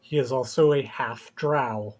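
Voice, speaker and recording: A man's voice: mid-range voice, a little slow, darkish tone, husky. A man playing in a tabletop game over voice chat, speaking tersely and dryly. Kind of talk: casual chat. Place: remote call over voice chat.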